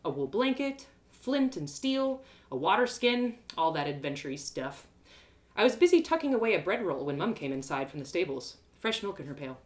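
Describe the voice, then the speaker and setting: A woman reading a fantasy story aloud in an expressive, first-person narrating style. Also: fairly low pitch, normal speed.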